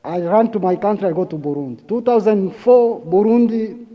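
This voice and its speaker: High voiced guy from congo, broken english, slow and repetitive, muffled lo-fi sound. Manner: broken, repetitive phrasing, unhurried with long pauses. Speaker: guest speaker. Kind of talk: halting personal testimony. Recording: dull lo-fi sound.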